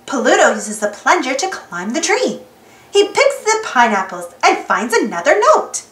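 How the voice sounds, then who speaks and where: Slow, singsong woman reading a storybook. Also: high-pitched, sing-song, a bit bright. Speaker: storybook reader. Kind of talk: children's storybook read-aloud. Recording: roomy echo, faint background music.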